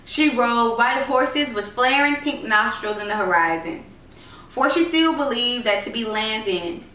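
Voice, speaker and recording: A woman's voice: on the high side, animated, unhurried, pushed and bright, distant mic. A woman narrating a literary short story, reading aloud from the text. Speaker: story reader. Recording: roomy echo, noisy, off-mic home recording.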